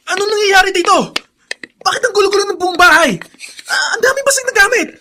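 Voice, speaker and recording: An actor in a Filipino radio drama playing an earnest plantation master and older brother, authoritative yet placating. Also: male voice, extremely high pitch, quick pace, sharp tone, faint music behind, heavily acted. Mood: alarmed, exasperated.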